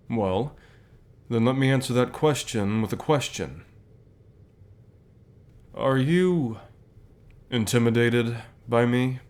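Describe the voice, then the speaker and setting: Man playing a slow, low-voiced reassuring boss. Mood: reassuring, concerned. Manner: measured, soft-spoken, deliberate pauses. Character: calm, reassuring male company boss, gently authoritative. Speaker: voice actor in an audio roleplay. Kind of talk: ASMR roleplay acting.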